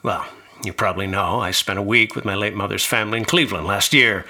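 A man's voice: fairly low pitch, fast, bright, forceful tone, exaggerated voice. A man acting in a radio drama as a haunted young college student, uneasily recounting horrors. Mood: troubled. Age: young adult.

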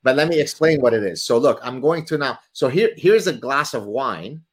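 A man whose native tongue is Arabic talks through his ideas as a guest author. His voice sits at an ordinary middle pitch. He speaks in a lecturing, theatrical way, driving his points home with vivid examples. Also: expressive, normal speed. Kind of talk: animated explanatory talk.

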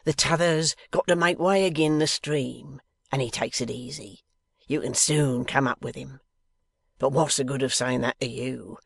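A man's voice: mid-range voice, moderate pace, fairly bright tone, no echo. A man voicing a coarse, sly lock-keeper from a novel, growling with an insolent manner. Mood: mocking, confident. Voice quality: growling, gruff. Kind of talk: dramatised novel reading.

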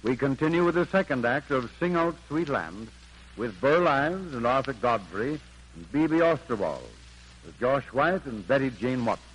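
An American man announcing a radio program from a script in formal, measured 1940s broadcast style. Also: medium pitch, sing-song, husky. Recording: humming, slightly noisy 1940s radio broadcast.

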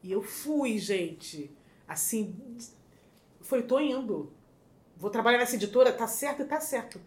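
A middle-aged woman from Rio with a Carioca accent, chatting as a podcast guest, lively and quick to laugh. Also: fairly high pitch. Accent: Carioca Brazilian Portuguese. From Rio de Janeiro, Brazil. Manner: lively, animated, laughs often. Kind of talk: casual storytelling chat. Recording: roomy echo with faint noise.

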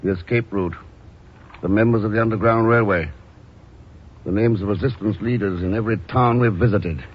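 Husky, unflappable radio secret agent. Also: male voice, fairly low pitch, normal speed. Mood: cool, assured. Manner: calm, dry, sardonic. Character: unflappable, dryly sardonic male espionage agent hero. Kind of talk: 1950s radio drama acting. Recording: muffled old radio recording.

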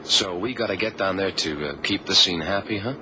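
Male actor as wry agent sidekick, deep voice, casual delivery, noisy vintage radio drama. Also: fairly quick, close to an everyday voice. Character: Johnny, wisecracking, easygoing American male agent sidekick. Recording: noisy old radio recording.

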